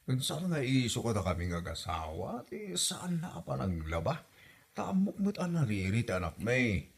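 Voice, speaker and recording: A man's voice: medium pitch, big pitch swings, normal speed, vocal fry, husky, heavily acted. A man playing a mild young husband in a comedy drama, placating and defensive between his mother and his wife. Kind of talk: comedy radio drama acting.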